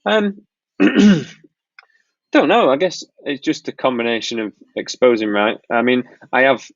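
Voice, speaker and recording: A man from Lancashire in northwest England with a mild northern accent, hosting a casual live Q&A and answering viewers' questions in a relaxed, rambling way. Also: medium pitch, slow, dry recording, dull audio. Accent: Northern English (Lancashire). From Blackpool, Lancashire, northwest England. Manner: relaxed, rambling, frequent ums and restarts. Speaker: wedding filmmaker hosting a live Q&A. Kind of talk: casual live-stream Q&A, reading out chat questions.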